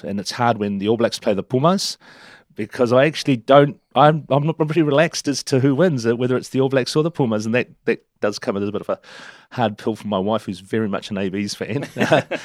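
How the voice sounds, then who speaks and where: Middle-aged New Zealand man, low voice, dry close sound; easygoing storyteller who restarts a lot. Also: darkish tone, dry recording. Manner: chatty, self-deprecating, many restarts. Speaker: interview guest. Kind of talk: relaxed storytelling.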